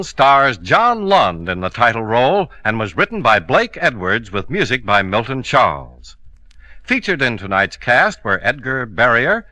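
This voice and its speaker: A man's voice: mid-range voice, sharp tone, dry recording. An American man announcing a 1950s radio show and its sponsor in a polished, emphatic scripted style. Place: radio studio.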